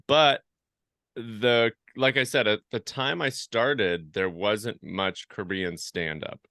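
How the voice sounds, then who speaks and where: A man's voice: fairly low pitch, slow pace, bright, forceful tone, dry recording, clean studio sound. A man who is a comedian, guesting on a podcast, telling self-deprecating stories and laughing often. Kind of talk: casual interview chat.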